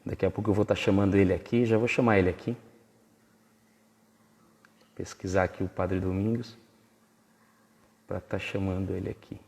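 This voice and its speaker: A man hosting a live stream, enthusiastic and a bit halting, with many fillers. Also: low-pitched, flat delivery, creaky, a little mellow. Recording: long reverb.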